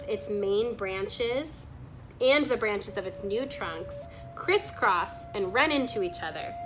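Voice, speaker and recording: A woman reading a nature book aloud in a measured, deliberate narration style. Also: fairly high pitch. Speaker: reader reading a children's nonfiction book aloud.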